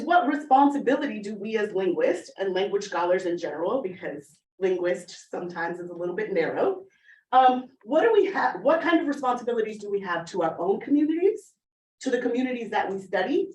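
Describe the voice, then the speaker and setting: A woman giving an academic conference talk in a conversational way, often checking in with the audience by asking "right?". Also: a little high. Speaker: lecturer. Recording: echoey room, voice far from the mic.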